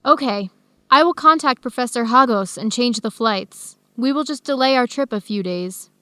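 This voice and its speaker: Young woman researcher, practical and concerned, high pitch, deliberate radio drama dialogue, dry recording. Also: sharp tone, dry recording. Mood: resolute. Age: young adult.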